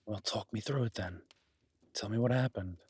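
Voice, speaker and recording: A man acts out a gruff, skeptical loner in a remote cabin for an intimate audio drama. His voice is low and rough-edged, recorded close and very dry. He is blunt and sweary, yet grudgingly caring and reassuring. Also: moderate pace, no room sound. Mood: matter-of-fact caring. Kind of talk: immersive audio roleplay, intimate naturalistic acting.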